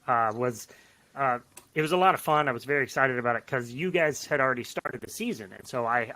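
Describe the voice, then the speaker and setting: A man speaks as a podcast guest in a casual, conversational way. His voice sits at a middle pitch with a bright, forward edge. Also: poor audio. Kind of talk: casual chat.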